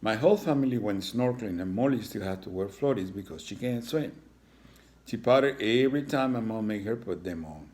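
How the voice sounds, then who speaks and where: A man's voice: on the low side, lively intonation, a little fast, a little mellow, natural voice. A man voicing a shy, nervous young lizard schoolboy in a children's storybook, speaking earnestly. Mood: earnest, defensive. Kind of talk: children's storybook read-aloud.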